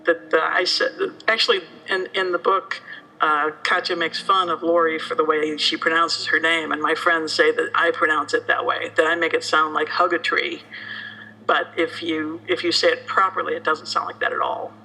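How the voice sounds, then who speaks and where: A woman's voice: deep voice, level tone, normal speed, sharp tone, slight background noise, low-quality recording. A woman in a casual interview as a guest author, joking and poking fun at herself. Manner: joking, self-deprecating. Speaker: guest novelist being interviewed. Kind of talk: casual chat.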